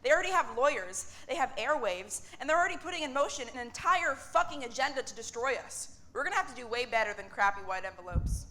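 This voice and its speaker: A woman's voice: high voice, expressive, fast talker, hardly any pauses, pushed and bright, rough recording. A woman playing a blunt, exasperated factory worker caught up in a revolt, in a stage drama. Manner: sarcastic, exasperated, swearing.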